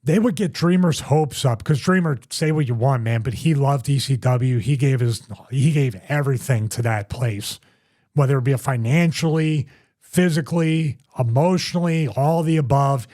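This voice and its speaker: A man's voice: medium pitch, normal speed, warm tone. A middle-aged man hosting a casual podcast, telling long rambling stories with restarts and repeats. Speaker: host, former wrestler.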